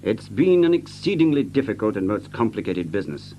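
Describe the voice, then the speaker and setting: An actor playing a shrewd, commanding gentleman detective in an audio drama, with an incisive, precise delivery. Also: lowish voice, big pitch swings, brisk, faint music behind. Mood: dry composure.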